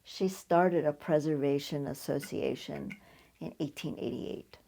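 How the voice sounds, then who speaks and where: A woman archivist talks in a measured, reflective manner. Her voice is very low and dark, with a mellow tone. She speaks slowly and unhurriedly. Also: vocal fry. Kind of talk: casual conversation.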